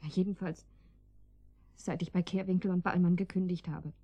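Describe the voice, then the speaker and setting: Soft-toned anxious housewife. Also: female voice, on the low side, level tone, normal speed, no room sound, muffled recording. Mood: wistful, halting. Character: anxious, naive married housewife, defensive and pleading. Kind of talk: German radio drama acting.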